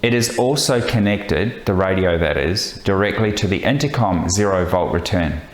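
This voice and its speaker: A man narrating a vlog as a pilot, explaining technical details in a measured, methodical way. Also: low-pitched, animated, roomy sound. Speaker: pilot and host of flying vlog.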